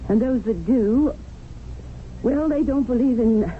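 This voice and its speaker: An actress playing a pragmatic, domineering English memsahib, patient and lecturing, in a radio drama. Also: female voice, fairly high pitch, measured pace, close to an everyday voice. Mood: matter-of-fact. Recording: tinny, noisy old radio broadcast.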